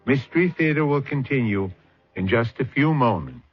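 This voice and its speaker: Man as an ominous, highly expressive mystery host. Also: close to an everyday voice. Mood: ominous, knowing. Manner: deliberate, sardonic, dramatic pauses. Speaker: host and narrator. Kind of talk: theatrical suspense radio narration. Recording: dull old radio recording. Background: faint music.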